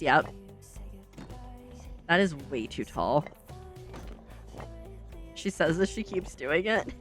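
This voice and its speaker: A woman's voice: lowish voice, fairly bright tone. A young adult woman streaming a game, chatting in an upbeat, giggly way and a little congested. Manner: chatty, upbeat, giggly, talks to herself while building. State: slightly congested. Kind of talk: casual chat while playing a game.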